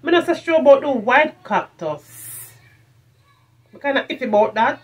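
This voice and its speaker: A woman's voice: high-pitched, normal speed, husky. Jamaican woman chatting casually to camera. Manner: animated patois-flavoured talk, repeats phrases for emphasis. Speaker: vlogger, product reviewer. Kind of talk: casual chat to camera, shopping haul review. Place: furnished room at home, indoors.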